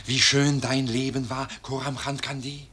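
A man's voice: medium pitch, little pitch movement, normal speed, pushed and bright, husky. A man voicing an insistent, taunting bullet that addresses a dying man in a declamatory radio play. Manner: insistent, pointed.

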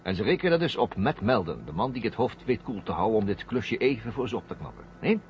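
Scornful traitor astronaut, taunting n sarcastic, voice swings a lot, old muffled radio drama w faint noise. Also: male voice, fairly low pitch, very animated, fast talker. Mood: mocking. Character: scornful male astronaut turned traitor, coldly triumphant. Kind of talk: 1970 Dutch science fiction radio drama acting.

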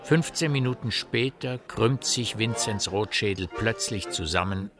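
A man narrating a literary radio play in a grave, measured storytelling manner. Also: on the low side, a bit bright, faint music behind. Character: grave omniscient male narrator of a village mystery.

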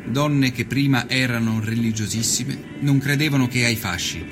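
A man performing an earnest nineteenth-century Italian journalist who recounts his travels in a measured, solemn, literary radio monologue. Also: medium pitch, music in the background, natural voice. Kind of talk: scripted dramatic narration, radio storytelling.

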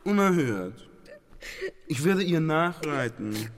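A man's voice: on the high side, sing-song, slow. A man playing an indignant, haughty feudal lord in a radio play. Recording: long echoing castle-hall reverb.

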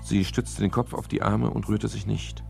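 An actor playing a sober, composed private detective in a radio crime drama, speaking in a measured, matter-of-fact way. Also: male voice, lowish voice, steady tone, vocal fry, a little mellow, soft background music. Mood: sober narrating. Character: male private detective narrating his case, sober and composed. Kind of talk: 1970s German radio crime drama, narration and dialogue.

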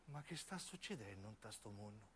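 Male actor as frail old woman, southern Italian accent, low hushed pleading, humble, bewildered, radio play. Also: big pitch swings, a little fast, a little hiss or hum. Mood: weak, bewildered.

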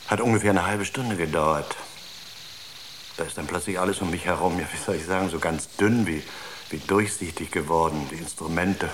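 Man acting an earnest, low-voiced space psychologist. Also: natural voice. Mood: hesitant, absorbed. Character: earnest male space-crew psychologist, absorbed in his experiment. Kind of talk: naturalistic radio drama acting. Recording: noisy radio drama recording.

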